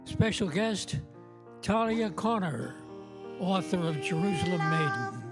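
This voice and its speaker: A grand, drawn-out show announcer with a gravelly edge, delivered by a woman putting it on, booming each name. The voice swoops up and down and crawls along slowly. It sounds distant over loud piano music. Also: lively intonation. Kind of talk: theatrical show introduction.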